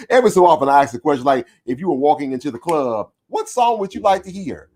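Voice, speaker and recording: A man hosting an online alumni show, chatting casually and shouting with excitement as he brings on guests, speaking over a video call. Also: on the high side, brisk. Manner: excitable, shouts and whoops when introducing guests. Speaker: show host. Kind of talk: hype introduction and casual interview chat.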